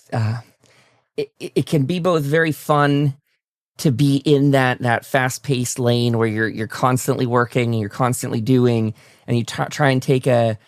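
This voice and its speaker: A man's voice: mid-range voice, fairly even intonation, moderate pace, no echo, studio quality. A man hosting a conversational interview, talking in a discursive way and repeating words as he forms his thoughts. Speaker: talk show host, interviewer.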